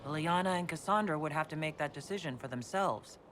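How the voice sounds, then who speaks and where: Calm, resolute heroine, low-voiced. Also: female voice, slight background noise. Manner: direct, measured. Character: calm, resolute female leader, heroine of fantasy game. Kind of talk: video game cutscene acting. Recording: loud music behind the voice.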